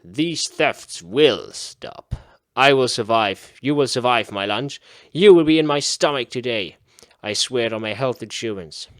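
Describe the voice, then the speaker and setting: A man's voice: mid-range voice, expressive, a little slow, no echo. A man narrating a visual novel aloud during a playthrough, with a dramatic read-aloud delivery. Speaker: gaming video creator.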